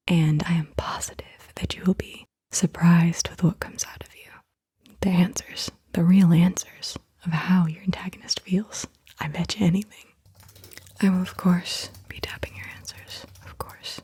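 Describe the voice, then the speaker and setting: A woman ASMR host whispering softly and deliberately in short, breathy phrases, guiding the listener through questions. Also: fairly low pitch, flat delivery. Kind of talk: soft-spoken whispered ASMR, guided questions.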